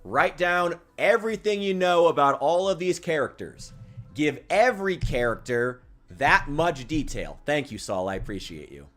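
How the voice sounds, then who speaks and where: A man's voice: fairly high pitch, normal speed. A man livestreaming while gaming, chatting casually and urging his chat on with lots of swearing. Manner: animated and profane, exhorting chat. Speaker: streamer. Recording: music playing faintly behind.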